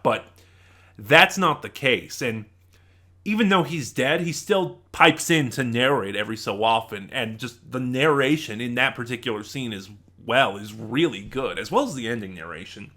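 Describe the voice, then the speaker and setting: Bright-voiced man giving a rambling review. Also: mid-range voice. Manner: rambling, halting, stops to backtrack and rephrase. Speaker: reviewer, channel host. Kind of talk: casual spoken audio drama review.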